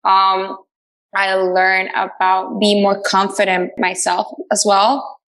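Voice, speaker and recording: Woman guest from Latin America, gravelly chatty delivery full of fillers. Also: mid-range voice, completely flat delivery, slow pace, a bit bright, low-quality recording. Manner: chatty, many fillers and restarts, laughs often. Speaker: radio show host, guest. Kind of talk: casual interview answers.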